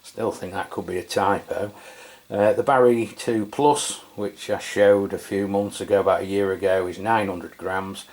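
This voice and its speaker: Low-voiced man reviewing a radio. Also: moderate pace. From England. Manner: relaxed and chatty, with frequent fillers and self-corrections. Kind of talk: casual product review walkthrough.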